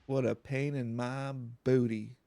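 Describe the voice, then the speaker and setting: A man hosting a let's play, giving calm, casual commentary on a game. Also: medium pitch, a little slow, gravelly.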